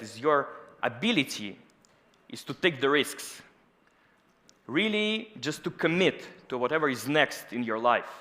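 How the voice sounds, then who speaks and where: A man in his thirties, a native Russian speaker, giving a lively conference talk on stage with personal stories, through a headset mic. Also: fairly high pitch. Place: large auditorium stage. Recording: long hall reverb.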